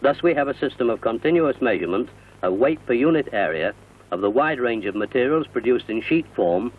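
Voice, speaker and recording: A British man narrating a scripted 1960 industrial newsreel in a formal, measured, explanatory manner, recorded as a voice-over. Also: mid-range voice, animated. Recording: muffled, narrow-band vintage film soundtrack.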